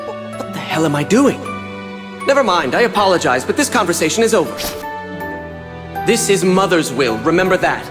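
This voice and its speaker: A sly, condescending video game schemer with a high, very animated voice. He mocks with theatrical relish. His words ring in a long reverb over faint music. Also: normal speed, pauses often. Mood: cold, dismissive. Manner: mocking, theatrical, talks down to listener. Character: sly, smug scheming male villain, coldly condescending. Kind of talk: English video game voice acting.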